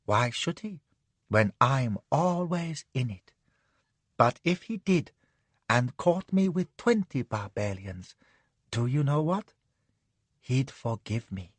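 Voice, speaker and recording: Man doing a lazy purring concubine lady, sleepy and spoiled, soft breathy voice, slow. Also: medium pitch, very animated, no room sound. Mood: purring teasing. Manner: drowsy, purring, capricious. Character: languid, cruel young imperial concubine.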